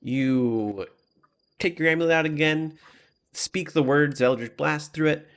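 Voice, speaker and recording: A man narrating a tabletop game as game master, casual and halting, often correcting himself as he describes things. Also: a little high, fairly bright tone.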